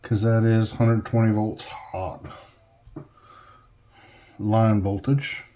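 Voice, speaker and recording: A man's voice: on the low side, flat delivery, drawn-out words, warm tone. A man giving casual, hands-on workbench narration in a folksy way, half talking to himself as he works indoors at home. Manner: folksy, muttering to himself while working. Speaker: hobbyist host demonstrating an electronics conversion. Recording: clearly noisy home recording, clicks.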